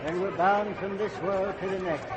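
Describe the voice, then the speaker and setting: An actor playing a folksy, relentless, ghostly train conductor in an old-time radio horror play, talking in a brisk, bossy way. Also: male voice, sits high, fairly even intonation, over a noisy crowd, lightly acted. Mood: insistent, eerie. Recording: dull, very noisy old radio recording.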